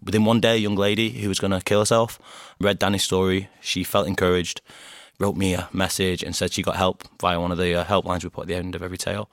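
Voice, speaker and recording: A man from Bolton with a Bolton accent, a podcast guest telling stories in animated casual chat and acting out his own quotes. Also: low voice, fast talker, no room sound, studio quality. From Bolton, England.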